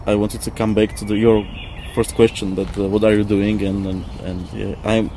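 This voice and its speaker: A man talks reflectively in English. He feels for his words and repeats them as he goes. Birds and traffic are clearly audible around him. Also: on the low side, little pitch movement, dark tone. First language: not English. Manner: halting, searching for words with repetitions. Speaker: interview guest, former architect. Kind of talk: casual reflective discussion. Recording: noisy outdoor ambience with birds, traffic.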